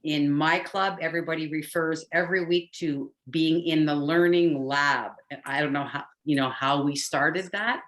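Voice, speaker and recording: A woman's voice: low-pitched, steady tone, normal speed, few pauses, a bit bright. A woman from Canada speaking on a video call, chatty and self-deprecating as she answers a question in a club meeting. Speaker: club member.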